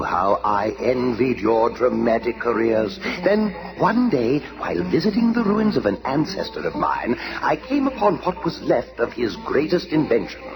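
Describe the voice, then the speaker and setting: An actor playing a grandiose villainous conqueror posing as a pharaoh in a 1970s radio drama, proclaiming pompously and theatrically. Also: male voice, medium pitch, vocal fry, poor audio. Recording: 1970s radio recording with music behind.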